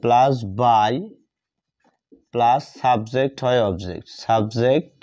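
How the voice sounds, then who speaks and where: A man teaching a grammar lesson step by step, deliberately repeating phrases and answering his own questions. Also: medium pitch, warm tone. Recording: dull lo-fi sound.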